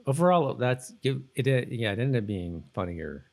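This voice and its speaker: A man's voice: medium pitch, big pitch swings, normal speed, a little mellow, slight background noise. A middle-aged man co-hosting a casual podcast recap of a TV show, thinking aloud in a hesitant, rambling way. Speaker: podcast co-host. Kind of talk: casual TV episode recap chat.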